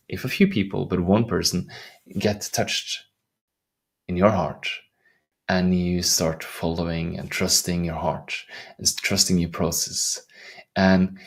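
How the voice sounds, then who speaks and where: A middle-aged man with a Norwegian accent talks in a deep, gravelly, husky voice. He is soft-spoken and earnest, circling back over his own phrases in an unhurried way. It sounds like a reflective coaching vlog. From Norway. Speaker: life coach. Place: outdoors in the wilderness.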